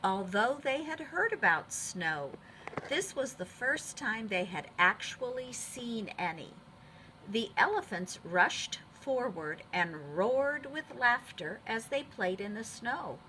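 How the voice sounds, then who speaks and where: Female preschool teacher for picture-book reading: slowish, lively intonation, expressive, addressing small children. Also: on the high side, a little hiss or hum, poor audio. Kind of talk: children's storybook narration.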